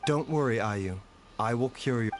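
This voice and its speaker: A man voicing a calm, courteous young doctor in a video game, speaking in a composed, formal and measured way. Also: mid-range voice, very noisy, close to an everyday voice. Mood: gently reassuring. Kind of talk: scripted video game acting. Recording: game music behind the voice.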